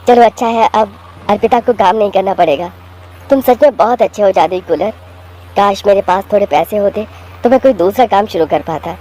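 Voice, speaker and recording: A man's voice: high voice, normal speed, warm tone, close to an everyday voice. A man voicing a weary, earnest poor young husband and father in a children's cartoon, humble and apologetic. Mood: relieved, wistful. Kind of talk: Hindi children's cartoon story voice acting.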